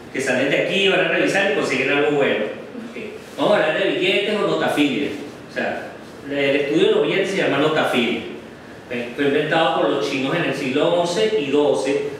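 A man's voice: fairly high pitch, a bit bright. A middle-aged man gives a slide presentation in a classroom, talking unhurriedly and often checking in with "ok?". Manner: unhurried, many "ok?" checks with the audience. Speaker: guest lecturer. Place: darkened classroom with projector screen. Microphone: far camera. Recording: very echoey room from far off, noisy.

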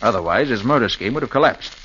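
A man's voice: medium pitch, lively intonation, fairly bright tone, close to an everyday voice. An actor playing a confident, clever male detective explaining a case in a 1940s radio drama. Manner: brisk, explanatory. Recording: dull old radio recording with faint hiss.

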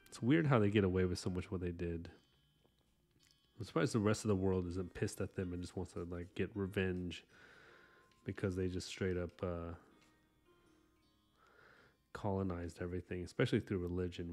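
A man whose first language was Korean talks in a deep, easygoing ramble to his live chat from a home art desk. He fills pauses with "um" and "like", and soft music sits faintly underneath. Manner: relaxed, rambling, many fillers. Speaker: artist streamer. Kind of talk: casual chat with live chat while painting.

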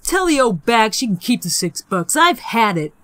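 A woman's voice: on the high side, sing-song, normal speed, fairly bright tone, no room sound, pitched above the performer's own voice. A woman voicing a bratty, mean seventh-grade boy in an audiobook, brash and mocking with slangy talk. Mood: mocking, fed up.

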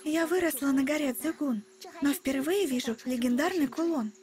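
High-voiced earnest girl heroine, acted by a woman. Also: normal speed, a little mellow, natural voice. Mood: earnest, explaining. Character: knowledgeable, composed teenage girl fantasy heroine. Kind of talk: dubbed animated fantasy dialogue.

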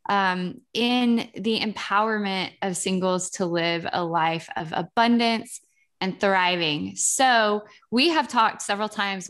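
This is an upbeat woman in her forties who hosts a podcast. She talks readily and warmly greets her guests over a video call. She speaks into a headset mic from a room at home. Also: mid-range voice. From Texas. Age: 40s. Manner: upbeat, welcoming, talkative. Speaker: podcast host.

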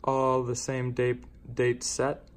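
A young man chatting casually as a coin-collecting vlogger, relaxed and rambling with filler words, filmed on a phone. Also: medium pitch, slow. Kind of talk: casual vlog chat. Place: car, then indoors at a desk.

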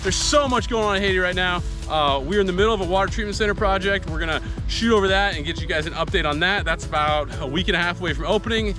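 A man's voice: high-pitched, quick pace, hardly any pauses. A man in his forties giving a casual, upbeat video update outdoors by a cornfield, with filler words and music behind. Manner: upbeat, chatty, frequent fillers. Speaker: farm nonprofit founder.

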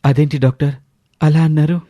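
A man's voice: fairly high pitch, level tone, fairly quick, warm tone, no room sound, natural voice. A man voicing an anxious, earnest husband who speaks hesitantly and pleadingly in an audio story. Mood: shocked, anxious.

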